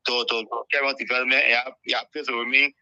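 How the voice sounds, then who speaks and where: A man's voice: medium pitch, flat delivery, rapid-fire, sharp tone, clean tone, dry recording. A man with a Liberian accent calling in to a political talk show, earnest and repeating words as he makes his point. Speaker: caller. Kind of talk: phone-in political comment. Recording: dull, dry call audio.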